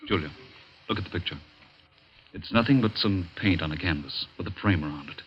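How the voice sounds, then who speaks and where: An actor playing a stern, authoritative doctor with a firm, measured, admonishing manner in a 1940s radio drama. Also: male voice, sits low, dull audio, a little hiss or hum, lightly acted. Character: stern, authoritative male doctor with cool, controlled temper.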